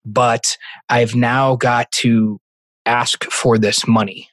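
A man in a casual conversation, laid-back and joking, tossing in quick interjections. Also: medium pitch, level tone, a bit bright, no room sound. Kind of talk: casual tech podcast chat.

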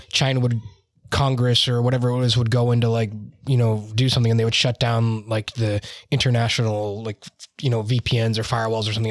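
A man's voice: medium pitch, dry recording, clean studio sound. A man hosting an interview, talking in a chatty, rambling way with many "you know" and "like" fillers. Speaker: podcast host interviewing IT leaders. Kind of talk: conversational interview.

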